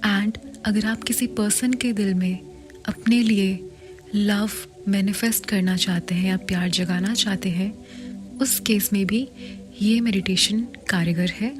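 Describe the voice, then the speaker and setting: A woman with an Indian accent narrates a guided meditation at an unhurried, slow pace. Her delivery is soft and soothing, close to a breathy whisper at times, giving gentle instructions. Clear music plays behind her mid-pitched voice. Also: fairly even intonation. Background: background music.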